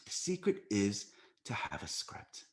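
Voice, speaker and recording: A man's voice: lowish voice, wildly swooping pitch, unhurried. An American man from the United States giving a sales training talk on a video call, coaching listeners and often checking in with "right?".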